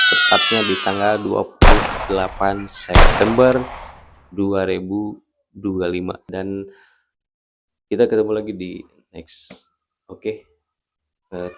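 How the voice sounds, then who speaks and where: A man in his early thirties talking casually to camera as a vlogger, in a halting way with frequent tag words at the ends of phrases. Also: sits low, a little hiss or hum. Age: early 30s.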